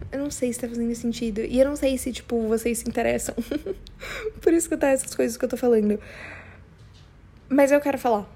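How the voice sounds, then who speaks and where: A woman's voice: on the high side, brisk, long pauses, dark tone, a little hiss or hum. A young woman with a strong São Paulo Brazilian accent, chatting casually and confessionally in a rambling, slangy, emphatic way. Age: young adult. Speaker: podcast host.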